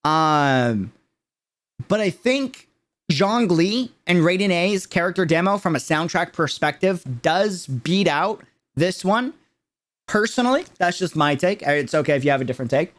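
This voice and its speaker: A man's voice: sits high, animated, measured pace, a bit bright. A man giving casual, emphatic livestream commentary, chatting to viewers in slang and repeating words for stress. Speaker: streamer reacting to a game video.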